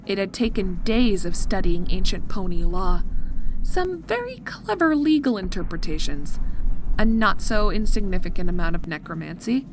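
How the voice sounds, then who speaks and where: A woman narrating a scripted dark comedy story in a dry storytelling manner. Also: mid-range voice, animated, normal speed, noisy background. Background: music.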